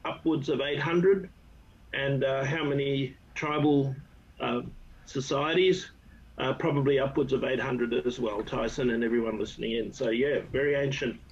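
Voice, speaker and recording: A man introducing himself as a guest on a video call in a modest, hesitant manner. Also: medium pitch. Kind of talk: casual video call chat. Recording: dull video call audio with faint noise.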